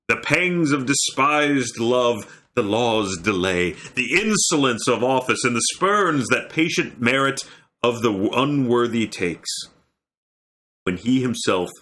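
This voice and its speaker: A man performing a troubled, brooding young prince in a Shakespearean stage soliloquy, with measured, solemn phrasing. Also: mid-range voice, pushed and bright, voice pushed up. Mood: indignant, weary. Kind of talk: Shakespearean dramatic recitation.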